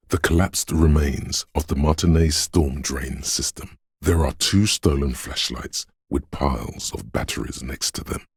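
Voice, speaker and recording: Super deep gravelly game narrator, ominous poetic sixth sense of a cold city, slow and solemn. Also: male voice, no room sound, studio quality, heavily acted. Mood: brooding, ominous.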